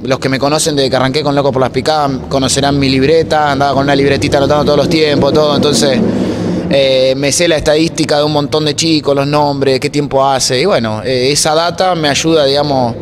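A man's voice: medium pitch, steady tone, a little fast. A man in his twenties with an Argentine accent, chatting casually as an interview guest into a handheld microphone. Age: 20s. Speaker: interview guest, racing announcer and workshop mechanic. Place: indoor block-walled room at a racetrack. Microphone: handheld interview microphone held toward him. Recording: noisy room, clear ambient background noise.

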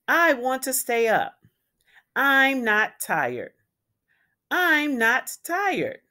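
A woman's voice: high voice, big pitch swings, extremely slow, bright, forceful tone, voice pushed up, exaggerated voice. A woman voicing an upset, stubborn young giraffe boy from a storybook, whining with emphatic protests. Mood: angry.

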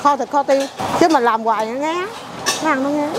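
A woman's voice: high voice, fairly quick, background noise. A middle-aged woman with a Southern Vietnamese accent who runs a market food stall, chatting casually and listing her dishes one after another. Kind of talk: casual interview chat.

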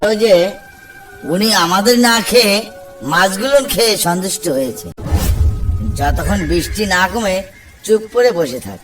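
A man's voice: high-pitched, animated, moderate pace, long pauses. A man voicing a wary, superstitious older fisherman uncle in a cartoon, bossy and given to ominous warnings. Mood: stern caution. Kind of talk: Bengali cartoon horror-comedy dialogue. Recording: distant mic under clear background music.

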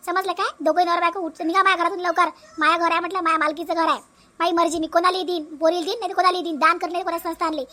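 A woman's voice: very high voice, fairly even intonation, racing, sharp tone. A woman playing a domineering, spiteful elderly mother-in-law in a village comedy cartoon, scolding in a Vidarbha Marathi accent. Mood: furious, defiant. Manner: scolding, commanding, repetitive.

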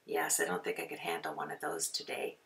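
A woman with a raspy voice from a sore throat, narrating a card-making tutorial as a chatty, rambling voice-over. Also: brisk, a bit bright, far from the mic. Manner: chatty, rambling, with frequent fillers. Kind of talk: casual voice-over for a craft video.

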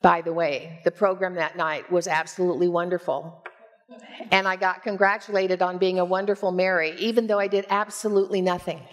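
A woman in her sixties preaching as a pastor, with measured, expressive storytelling, on a headset mic in a church. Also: lowish voice. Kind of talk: sermon with personal storytelling. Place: church sanctuary, at a pulpit.

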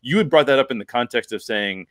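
A man's voice: mid-range voice, lively intonation, quick pace, no echo. Male podcast host, forties, casual and emphatic explainer, close mic, dry sound. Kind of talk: casual film discussion. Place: bedroom at home. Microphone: large microphone close to the mouth.

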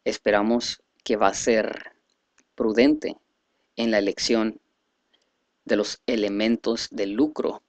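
Man, flat intonation, dry close sound, careful halting reader, formal text read aloud. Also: lowish voice, no room sound. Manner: halting, careful reading with stumbles. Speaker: reader presenting a selected speech. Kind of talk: reading aloud a translated speech.

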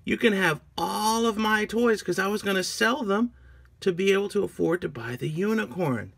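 A man's voice: high-pitched, normal speed, creaky, a bit bright, breathy edge, voice pushed up, exaggerated voice. A man voicing a sweet, eager little girl in a toy story, gushing and earnest. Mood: surprised, earnest. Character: sweet, eager little girl selling her old toys. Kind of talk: toy storytelling with acted dialogue.

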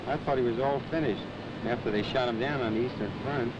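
An actor playing a courteous undercover agent posing as an ordinary citizen, making casual small talk in a 1940s film. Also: male voice, a little fast, music in the background, natural voice. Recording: muffled old film soundtrack, loud rumble.

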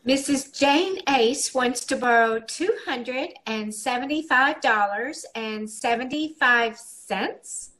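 A woman's voice: on the high side, slow, hardly any pauses, fairly bright tone, rough recording. A woman acting a literal-minded office clerk in an old-time radio comedy. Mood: businesslike.

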